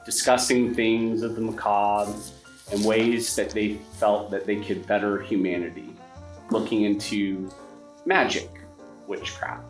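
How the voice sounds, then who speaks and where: A lower-voiced man narrates as game master in an unhurried storytelling manner. He sounds a bit far from the mic in a roomy space, with quiet music under him. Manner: measured, storytelling narration with some restarts. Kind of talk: narrating a tabletop horror game. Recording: echoey room, mic at a distance, faint music.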